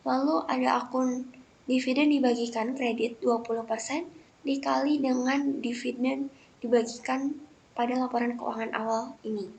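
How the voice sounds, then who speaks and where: A woman's voice: a little high, gravelly, a little mellow, rough recording. A young woman presenting a scripted lesson step by step in a methodical, recited way, like a student presenter. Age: young adult. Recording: noticeable background noise.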